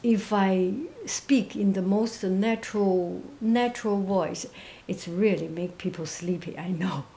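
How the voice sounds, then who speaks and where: Slow, soft-spoken woman, non-native English. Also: medium pitch. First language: not English. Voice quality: monotone, quiet, soft. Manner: hesitant, gentle, halting. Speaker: interviewee, painter and teacher. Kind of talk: reflective interview answers.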